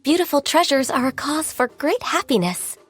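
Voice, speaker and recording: Gentle mythical-beast secretary, high voice, soft-spoken, earnest, formal, video game character, close dry recording, faint music. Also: female voice, sing-song, moderate pace. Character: gentle, shy, diligent half-Qilin secretary, centuries old, youthful female.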